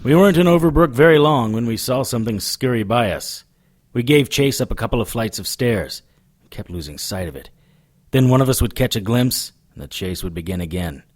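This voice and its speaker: A gruff private eye, played by a man, seasoned and stubborn, in a radio drama. He talks in a curt, sardonic way at a middling pitch. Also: expressive, fairly quick, darkish tone, lightly acted. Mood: tense narrating. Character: hard-bitten, stubborn, experienced male private investigator. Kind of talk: audio drama acting with first-person narration.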